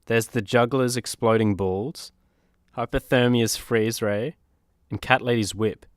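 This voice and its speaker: A man plays a proud superhero in a comedy radio play, showing off his past glories with nostalgia. The voice is mid-pitched, the sound close and dry. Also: fairly even intonation, a little slow, no echo, natural voice. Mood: nostalgic. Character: proud, nostalgic adult male superhero giving a tour.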